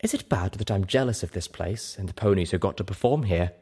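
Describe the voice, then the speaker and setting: A man's voice: low-pitched, a little fast, a little mellow, breathy edge. A man voicing a refined, haughty and sensitive female unicorn singer, prim and dramatic, in a story reading. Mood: wistful.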